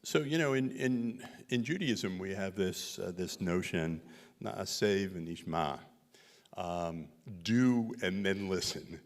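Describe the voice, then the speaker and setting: A man, a visiting researcher, answering questions after a community talk, speaking haltingly with many fillers and restarts. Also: low voice, expressive. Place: synagogue hall. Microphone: handheld microphone. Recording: long hall reverb.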